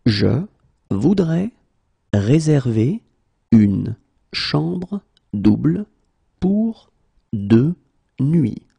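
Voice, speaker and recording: A man who is a native French teacher, slowly drilling short phrases, saying each one whole and then word by word. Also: medium pitch, big pitch swings, vocal fry, mellow, slightly rough. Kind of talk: slow phrase-by-phrase language drill.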